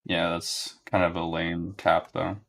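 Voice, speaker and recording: Low gravelly monotone young man. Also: measured pace. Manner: monotone, relaxed, low-key; thinking aloud with fillers, frequent "right?". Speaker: day trader streaming live. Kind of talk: live trading commentary to chat.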